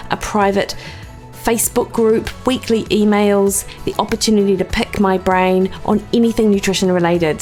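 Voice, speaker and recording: A woman's voice: medium pitch, a little mellow. A middle-aged woman hosting a podcast, chatty and enthusiastic in a casual interview. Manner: chatty and enthusiastic, quick asides. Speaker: podcast host.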